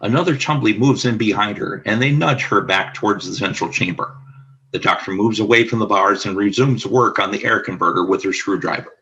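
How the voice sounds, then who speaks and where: A man with a bright, rough-edged, fairly low voice narrates a radio play. He reads scripted scene descriptions formally and deliberately, like an announcer. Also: dull audio.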